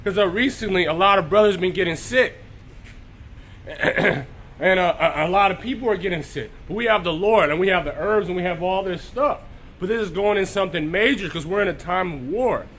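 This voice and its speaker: A man preaching on a live stream with a hoarse voice that is recovering from illness. He clears his throat often and greets viewers by name between scripture readings. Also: high-pitched, a little fast, fairly bright tone, a little hiss or hum. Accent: Texas.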